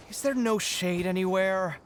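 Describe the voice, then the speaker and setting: An actor playing a weary, grumbling traveller in a video game, with a complaining manner. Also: male voice, high-pitched, slow pace, a bit bright, a little hiss or hum. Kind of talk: video game character acting.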